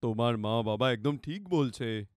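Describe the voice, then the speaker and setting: A man's voice: medium pitch, animated, unhurried, a little mellow, dry recording, studio quality, pitched below the performer's own voice, lightly acted. Serious, cautious poor young man, read by a man. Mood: stern, resigned. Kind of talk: dramatized cartoon romance story.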